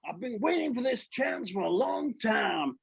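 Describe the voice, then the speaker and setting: A man voicing an excitable, childlike, starstruck puppet in a gushing, exclamatory manner for a live puppet show. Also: extremely high pitch, normal speed, gravelly, slightly rough, dry recording, pitched above the performer's own voice, full character voice. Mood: overjoyed, starstruck.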